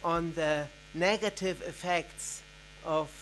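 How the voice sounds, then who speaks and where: A man from an NGO, asking a formal question from the floor at a conference in a measured, deliberate way. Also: a little high, fairly even intonation, fairly bright tone, slight background noise, rough recording. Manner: measured, deliberate, with occasional uhs. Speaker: NGO representative, audience member. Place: conference hall.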